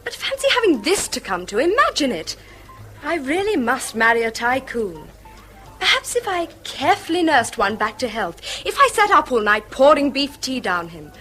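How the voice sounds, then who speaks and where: A woman's voice: sits high, sing-song, normal speed, sharp tone, soft background music, exaggerated voice. An actress playing a wistful, affectionate young English daughter in a period radio drama, in a girlish, coaxing manner. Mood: dreamy, wistful. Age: young adult.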